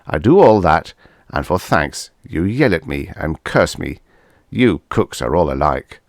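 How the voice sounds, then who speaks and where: A man's voice: extremely low pitch, sing-song, unhurried, no echo, clean studio sound, heavily acted. A man voicing an indignant, curt friend in a science fiction audiobook, stern and exasperated. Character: indignant, curt male friend warning and scolding.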